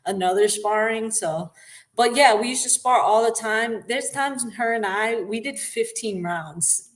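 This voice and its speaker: A woman's voice: on the high side, unhurried. Laid-back New York woman boxer. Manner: laughs often, relaxed and candid. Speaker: professional boxer. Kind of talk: casual interview.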